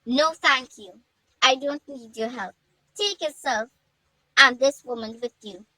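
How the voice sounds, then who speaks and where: A woman's voice: sits high, a little slow, bright, forceful tone, no echo, heavily acted, poor audio. A woman voicing an emotional, dramatic village girl in a told story, defiant yet tender. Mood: angry, stern. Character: defiant grieving village girl, tender with her sick mother.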